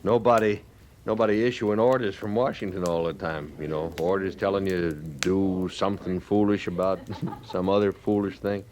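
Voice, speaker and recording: An actor playing a sardonic, drifting ex-soldier and gambler in an old-time radio western, easygoing and needling. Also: male voice, sits low, fairly even intonation, normal speed, a little mellow, lightly acted. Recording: old radio drama with crowd murmur. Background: saloon crowd sound effects.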